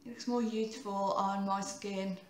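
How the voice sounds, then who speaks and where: A woman in her thirties with a croaky voice, giving a chatty, rambling makeup tutorial and often correcting herself. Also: mid-range voice, little pitch movement, measured pace, darkish tone, reverberant. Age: 30s.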